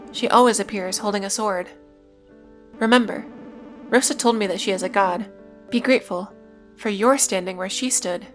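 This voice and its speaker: A woman plays a devout female cult leader from a horror web series. She gives solemn instructions in formal, stilted sentences, commanding at a middle pitch. Faint music sits behind her. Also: natural voice.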